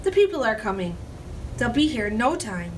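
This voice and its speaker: A woman's voice: on the high side, very animated, moderate pace, recorded from a distance, noisy background. A woman voicing a young male pig who talks in a pleading, urgent way.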